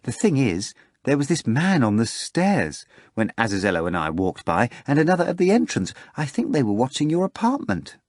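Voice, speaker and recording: A man voicing an earnest young woman who speaks in a soft, questioning manner. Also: mid-range voice, wildly swooping pitch, moderate pace, few pauses, no room sound, studio quality, voice pushed up.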